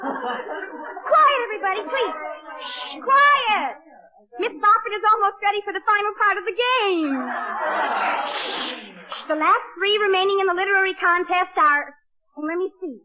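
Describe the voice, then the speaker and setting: An actress playing an eager, insistent teenage girl in an old radio comedy, excitable and coaxing. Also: very high-pitched, a little slow, long pauses. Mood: excited. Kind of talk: 1940s radio comedy acting. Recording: dull, lo-fi vintage radio recording.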